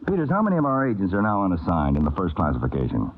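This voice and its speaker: Male agency chief, low animated voice, clipped, decisive, old radio drama, tinny. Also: rapid-fire, heavily acted. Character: authoritative, shrewd male counterspy chief. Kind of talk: 1950s radio drama acting.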